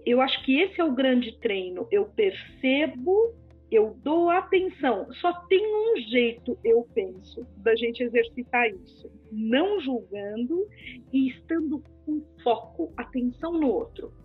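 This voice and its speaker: A woman with a Brazilian Portuguese accent, a podcast guest explaining communication and empathy, animated and sometimes acting out other people. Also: high-pitched, measured pace, breathy edge. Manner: animated, acts out quoted people. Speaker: speech therapist guest. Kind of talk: podcast conversation. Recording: phone-like lo-fi audio with faint music.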